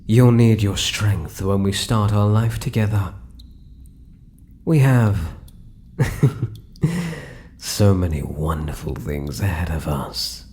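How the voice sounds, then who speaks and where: Casting: male actor as British drider, possessive and doting, low dark voice, swooping intonation, intimate ASMR menace. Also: exaggerated voice. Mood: tender, sinister. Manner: crooning, soft menace, breaking into whispers. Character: possessive, sinister yet doting male spider-human hybrid monster. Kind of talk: ASMR roleplay, intimate monologue to listener.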